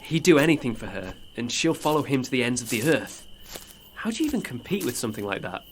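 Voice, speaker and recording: A man's voice: medium pitch, big pitch swings, quick pace, a little hiss or hum, lightly acted, poor audio. Man playing earnest, hesitant young man. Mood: uneasy, downcast. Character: earnest, stubborn, lovelorn young man, hesitant yet daring. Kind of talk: naturalistic audio drama acting.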